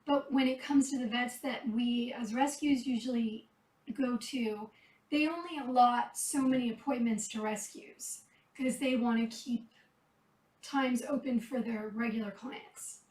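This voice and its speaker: A high-pitched woman chats softly about caring for rescue cats, her voice turning a little hoarse. She sits back from the mic. The room around her rings with echo. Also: unhurried, distant mic. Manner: chatty, soft-spoken, talks to the cats between remarks. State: losing her voice, a bit hoarse. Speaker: cat rescuer. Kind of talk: casual chat while tending kittens. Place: kitten room indoors.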